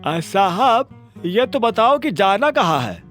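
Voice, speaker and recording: A narrator puts on an excitable, talkative cab driver with a high, lively voice that jumps about. He is eager and chatty. This is an audiobook character voice. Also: takes it slowly, soft background music, heavily acted.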